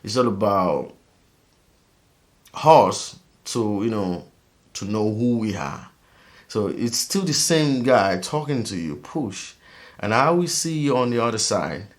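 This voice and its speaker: A man from Africa hosting his own vlog, talking casually in a rambling, animated way with many fillers. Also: on the low side, takes it slowly. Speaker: vlogger host.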